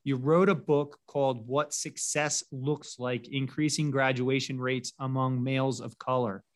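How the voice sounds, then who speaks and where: Man, host, welcoming, measured questions, mid voice. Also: mid-range voice, level tone. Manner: welcoming, reads the bio then asks questions. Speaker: show host. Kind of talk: formal introduction and interview questions.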